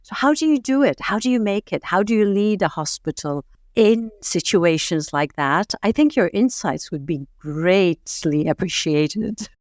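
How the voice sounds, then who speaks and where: A woman's voice: medium pitch, lively intonation, unhurried, a little mellow, no echo, studio quality. A woman hosting a podcast interview, eager and encouraging toward her guest. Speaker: podcast host.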